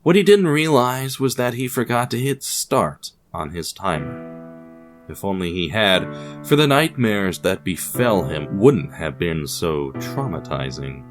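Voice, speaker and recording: Low-voiced man narrating a wry, ominous story. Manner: wry, ominous, addresses the listener formally. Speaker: narrator of a fiction podcast.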